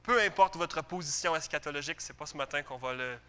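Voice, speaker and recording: A man delivers a sermon in a Quebec French accent. His voice sits a little high and has a very bright, forceful edge. He talks to the congregation conversationally, stressing key phrases through repetition and rhetorical questions. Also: fast, clear, resonant tone. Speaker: preacher.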